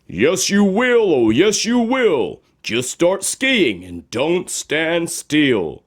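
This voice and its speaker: Cheerful magic shoes from a storybook, voiced by a male narrator. They urge and encourage bossily in a raised, highly animated voice. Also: very slow, exaggerated voice. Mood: encouraging. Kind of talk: storybook character voice.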